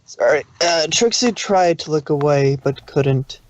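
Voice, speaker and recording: A man's voice: on the high side, expressive, a little slow, dull audio, a little hiss or hum. A man reading scripted fan fiction as the narrator of a dramatic story.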